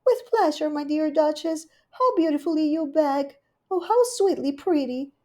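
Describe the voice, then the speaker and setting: Woman doing a prim high-voiced cat hostess. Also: lively intonation, normal speed, dark tone. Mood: prim, polite.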